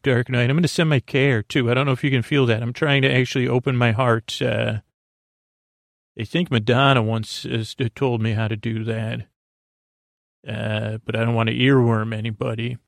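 Creaky-voiced man, meandering bedtime podcast host. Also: medium pitch, flat delivery, no room sound, studio quality. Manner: meandering, halting, trips over words, repeats himself; gentle, reassuring. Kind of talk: rambling, soothing bedtime podcast monologue.

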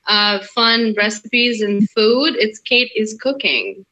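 A young woman, chatting as a podcast guest with fillers and quick laughs. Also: a little high, steady tone, bright, forceful tone, dull audio. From Russia. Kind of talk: casual interview chat.

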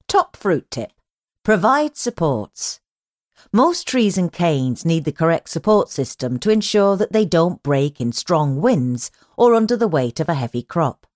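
A woman reading magazine articles aloud as a scripted narration, in a measured, instructional way with clear phrasing. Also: lowish voice, a little mellow, no echo, studio quality. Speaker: magazine audio edition narrator.

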